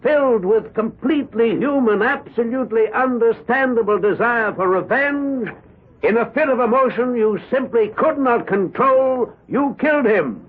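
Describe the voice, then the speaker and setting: An actor playing an earnest, persuasive defense lawyer, pleading with deliberate oratory in a 1970s radio drama. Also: male voice, very high voice, slightly rough, phone-quality sound. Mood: urgent persuasion. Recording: thin band-limited vintage radio recording.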